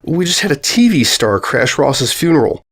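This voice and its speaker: A man's voice: fairly high pitch, big pitch swings, normal speed, fairly bright tone, slightly rough. A man reading a scripted first-person story aloud in a casual, conversational storytelling manner. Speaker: narrator reading a short horror-comedy story.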